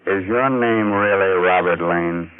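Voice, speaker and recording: Wary amateur detective, low grave voice, measured delivery, thin old radio recording. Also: male voice. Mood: guarded. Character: wary, composed amateur crime investigator, a man. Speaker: radio drama lead. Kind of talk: 1940s radio mystery acting. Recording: narrow, tinny, noisy 1940s radio recording.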